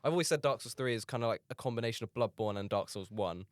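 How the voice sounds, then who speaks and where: A man chatting casually in a group, animated and swearing freely. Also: medium pitch, quick pace, dry recording. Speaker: podcast co-host.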